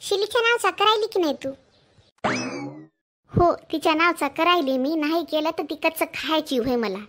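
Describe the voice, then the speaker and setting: A woman voicing a defiant young woman who talks back in quick retorts. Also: extremely high pitch, a bit bright.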